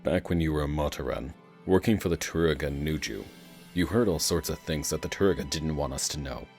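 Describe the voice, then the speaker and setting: A man playing an earnest, steady hero in an animated fan dub, speaking in a measured, sincere and encouraging way. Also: very deep voice, gravelly, soft background music. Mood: earnest, reassuring. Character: deep-voiced male fire hero, earnest and steady teammate.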